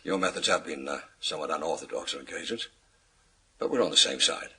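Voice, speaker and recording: An actor playing a pompous, image-obsessed senior British police chief in a radio thriller, formal and given to pauses. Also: male voice, rumbling low, sharp tone, a little hiss or hum, full character voice. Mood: weary, worried. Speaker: actor in a radio crime drama.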